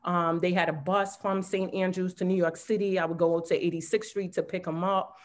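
A woman speaking as a parent on a school panel, warm and grateful with many fillers. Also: on the low side, level tone, normal speed. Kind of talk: panel discussion.

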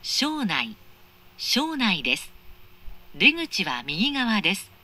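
Measured, bright woman announcing on a train. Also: mid-range voice, wildly swooping pitch. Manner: formal, measured, precise enunciation. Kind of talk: scripted automated station announcement. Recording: clear train noise, recorded inside carriage. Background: moving train interior noise.

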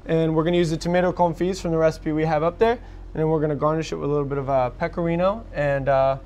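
Fast-talking chef with many fillers. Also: male voice, on the high side, steady tone, darkish tone, slight background noise. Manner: halting, many fillers, explains step by step. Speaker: guest chef. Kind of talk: cooking demonstration. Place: TV studio kitchen set.

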